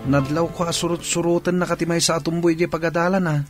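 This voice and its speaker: An actor with an Ilocano accent playing a familiar, advising older brother in a radio drama. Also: male voice, a little high, level tone, quick pace, faint music behind, lightly acted. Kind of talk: Filipino radio drama acting.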